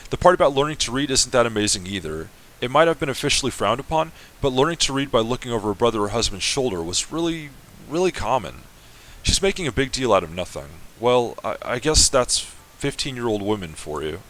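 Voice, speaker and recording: A man's voice: medium pitch, big pitch swings, fairly quick, hardly any pauses, fairly bright tone, slight background noise, rough recording. A man reading the lines of an apologetic, chatty female ship AI from a game. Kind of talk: semi-dramatic reading of a game.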